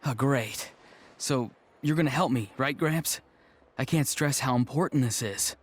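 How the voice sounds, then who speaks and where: An actor playing an earnest young grandson in a video game, wistful and solemnly overdramatic. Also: male voice, mid-range voice, measured pace, slight background noise, close to an everyday voice. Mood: pleading, scheming. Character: earnest, melodramatic young grandson who loves vegetables. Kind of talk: video game cutscene acting.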